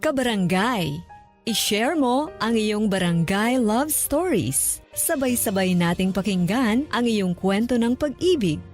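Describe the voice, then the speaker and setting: A woman's voice: medium pitch, expressive, unhurried, a little mellow, soft background music. A woman reading a scripted radio promo as an announcer.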